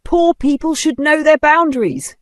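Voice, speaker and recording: A storyteller voicing a haughty, vicious rich woman of thirty who snaps and shouts insults. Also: medium pitch, big pitch swings, normal speed, dry recording, studio quality, pitched above the performer's own voice. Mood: scornful.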